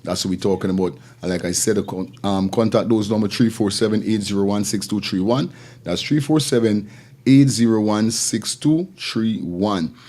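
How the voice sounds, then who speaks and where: Low-voiced, mellow Caribbean male host. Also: normal speed. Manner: informal, repetitive, slangy patter. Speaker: live show host. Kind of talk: casual live-stream talk with shout-outs.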